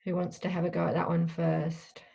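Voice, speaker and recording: Woman moderator, lower voice, thoughtful and questioning, online panel. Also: monotone, a little fast, creaky, dark tone. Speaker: workshop host. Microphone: video call.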